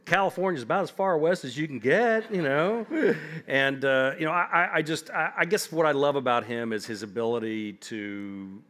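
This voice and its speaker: A man's voice: a little high, moderate pace, hardly any pauses, a bit bright. A man in his fifties, an author telling funny anecdotes on a library stage before a seated audience, rambling with lots of "like" and "you know". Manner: rambling, humorous, heavy on "like" and "you know" fillers. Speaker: author guest.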